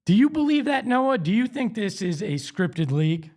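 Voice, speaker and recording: American man, fairly high voice, sports show host, emphatic, conversational delivery. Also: big pitch swings, normal speed, a little mellow. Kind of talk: opinionated sports talk and host-read ads.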